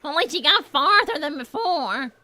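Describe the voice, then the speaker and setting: Young female cartoon hedgehog: sweet but indignant, chatty, very high girlish register, lively swings. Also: normal speed, no echo. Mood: exasperated. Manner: animated, indignant, dramatic. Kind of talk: improvised in-character livestream banter, reading viewer messages.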